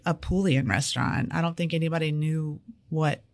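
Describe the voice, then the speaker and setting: A woman, a restaurant co-owner talking in a relaxed, hesitant way in an interview. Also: low voice, moderate pace. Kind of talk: casual interview.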